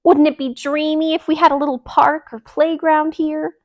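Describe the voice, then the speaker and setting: A bossy, excitable girl duck from a children's storybook, acted out by a woman. She gushes and gives orders in exclamations, with a raised pitch and friendly heart. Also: normal speed, close to an everyday voice. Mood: delighted. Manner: demanding, gushing, exclamatory.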